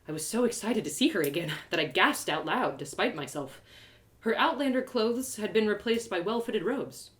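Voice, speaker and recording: Animated woman reading a fantasy story. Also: medium pitch, moderate pace. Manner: theatrical, animated delivery. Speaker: author reading her story aloud. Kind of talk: expressive first-person fantasy story narration.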